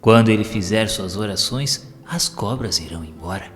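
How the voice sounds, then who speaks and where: Kindly, calm Brazilian medium, read by a male narrator, measured and instructive, low voice, long reverb. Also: close to an everyday voice. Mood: calm, advising. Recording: long reverb with music behind.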